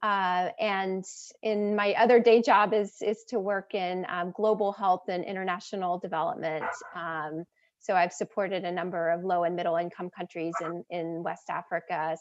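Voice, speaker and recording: A woman commission member introducing herself warmly on a video call. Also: lowish voice, fairly even intonation, normal speed, background noise. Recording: dull lo-fi call audio.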